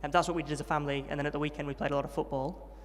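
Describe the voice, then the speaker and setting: A young man recounts his upbringing at a brisk pace and a slightly raised pitch. He is friendly and self-deprecating, with plenty of ums. His voice rings with the long reverb of a large hall. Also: flat delivery, a little hiss or hum. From Yorkshire, England. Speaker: interviewee, trainee vicar. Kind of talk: testimony interview at a student event.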